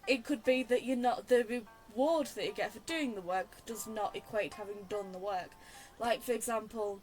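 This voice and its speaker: A woman's voice: mid-range voice, low-quality recording. A chatty young woman giving casual, digressive, self-deprecating game commentary. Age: young adult. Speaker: game streamer.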